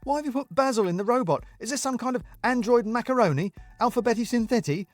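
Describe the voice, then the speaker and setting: A man's voice: high voice, very animated, normal speed, no room sound, faint music behind, pitched above the performer's own voice. A man voicing a flustered man who stays incredulous and exasperated, in a storybook reading. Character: exasperated flustered man trying to stay calm.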